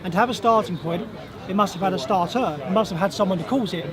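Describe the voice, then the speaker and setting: Hoarse high-voiced man arguing outdoors. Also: fast. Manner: insistent, argumentative, repeats himself when cut off. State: hoarse, losing his voice. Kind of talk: outdoor street debate. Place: outdoors in a crowded public park. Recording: outdoor crowd noise.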